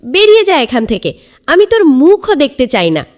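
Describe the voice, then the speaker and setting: An emotional little cartoon boy, acted by a woman, pitched extremely high and shrill. He is insistent and dramatic, with intonation that jumps around. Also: huge pitch swings, moderate pace, darkish tone. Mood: angry.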